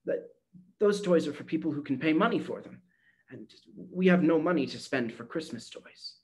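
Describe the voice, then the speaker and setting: A man reading a storybook aloud, voicing a tired, gentle old grandmother who speaks softly and sadly. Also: on the high side, expressive. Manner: soft, slow, sighing and sad.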